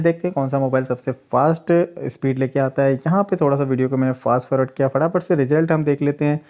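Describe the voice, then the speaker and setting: Man, tech host, phone test voice-over, mid pitch, steady explanatory commentary. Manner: steady explanatory commentary, repeatedly addressing viewers as friends.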